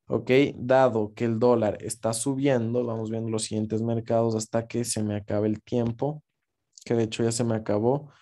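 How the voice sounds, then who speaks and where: A man's voice: mid-range voice, warm tone. A man teaching forex trading over a screen recording, in a casual explanatory way with frequent check-ins to viewers. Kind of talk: screen-share trading lesson.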